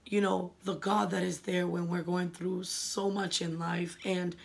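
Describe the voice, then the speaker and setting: A young woman speaks in a raspy, hoarse voice, worn down by a cold. She talks earnestly and emotionally, gesturing as she shares her faith into a close webcam in a living room. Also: on the low side, level tone, measured pace. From New England. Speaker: vlogger. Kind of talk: personal faith vlog.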